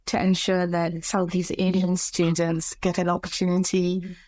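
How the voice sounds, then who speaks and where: A woman from Malaysia in her fifties speaking as a panelist, lively and self-mocking. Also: fairly low pitch, moderate pace, no room sound.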